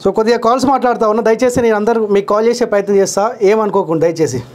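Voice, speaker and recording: A man with a Telangana Telugu accent hosts a live phone-in as a journalist, putting brisk, direct questions to callers. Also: sits high, darkish tone.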